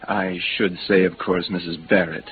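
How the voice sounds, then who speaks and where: A man's voice: deep voice, little pitch movement, moderate pace, lightly acted. An actor playing a pompous, courteous bartender at an exclusive bar in a 1950s radio drama, formal and flowery in his speech. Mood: confiding, formal. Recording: noisy, narrow vintage radio recording.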